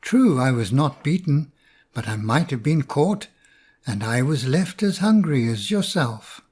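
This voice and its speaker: A man's voice: mid-range voice, big pitch swings, unhurried, a little mellow, breathy edge. A man reading as a sly scheming fox.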